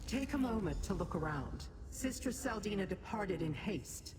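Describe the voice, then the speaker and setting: A woman's voice: medium pitch, animated, normal speed, close to an everyday voice. An actress playing a haughty, imperious female Daedra in a video game, speaking formally and condescendingly to mortals. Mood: composed, commanding. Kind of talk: video game character acting. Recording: long reverb, clear background ambient noise.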